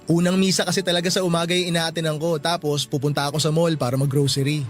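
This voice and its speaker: A man's voice: fairly high pitch, flat delivery, fast talker, natural voice. A man playing a friendly, easygoing young coworker in a Tagalog radio drama, with a Filipino accent. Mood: friendly, teasing. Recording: music bed behind the voice.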